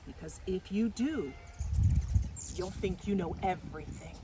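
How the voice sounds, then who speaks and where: A fatherly storybook God, read by a woman, speaks with solemn tenderness and deliberate slowness. The tone is grave and loving, over faint background noise. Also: medium pitch, lightly acted. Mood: grave warning. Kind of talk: children's storybook character reading.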